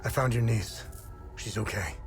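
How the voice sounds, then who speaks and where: An actor playing a stoic wanderer in video game dialogue, speaking in short, terse questions. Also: male voice, on the low side, expressive, normal speed, soft background music, close to an everyday voice. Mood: reserved.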